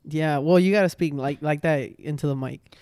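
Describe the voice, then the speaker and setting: A young man hosts a home podcast, talking into a boom arm mic with a mellow, slightly high voice. His chat is loose and rambling, full of slang and restarts. The sound is dry and close. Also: normal speed, no echo. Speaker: podcast host. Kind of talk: casual chat.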